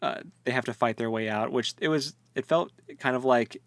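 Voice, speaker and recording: A man's voice: medium pitch, moderate pace, dry recording. A man co-hosting a podcast, retelling a story in casual, slangy, jokey conversation. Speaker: podcast co-host. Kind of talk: casual book discussion chat.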